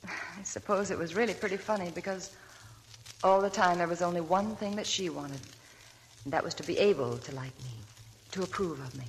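A woman's voice: lowish voice, brisk, slight background noise, natural voice, low-quality recording. An actress playing a shy young working woman who narrates her past, in 1940s radio acting. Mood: reflective. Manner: shy, soft-spoken. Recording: old radio broadcast with faint music.

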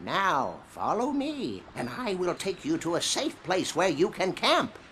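Slow, wise old crab elder. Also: male voice, high voice, wildly swooping pitch, very noisy, heavily acted. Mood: solemn. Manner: slow, ceremonious, portentous. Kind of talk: video game cutscene acting.

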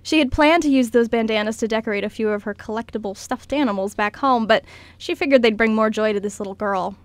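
A woman's voice: fairly high pitch, quick pace, no room sound. A woman reading scripted story narration as the narrator of a radio skit.

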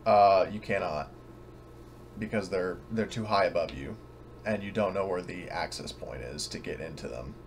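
A man narrating a tabletop game as game master in a casual, halting, self-correcting way. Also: low voice. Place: at home, online voice session. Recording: clearly noisy home recording.

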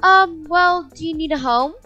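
A kind adoptive mother, played by a woman in a game roleplay. She speaks in a high, chipper, accommodating way over faint music. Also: moderate pace, pushed and bright, muffled recording. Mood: kind, eager. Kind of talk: improvised video game roleplay.

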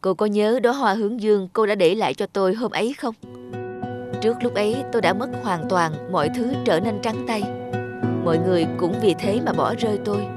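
A woman's voice: medium pitch, fairly quick, close to an everyday voice. Woman voicing an earnest humble former beggar man, music behind. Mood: earnest, grateful. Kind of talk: cartoon fairy-tale dubbing.

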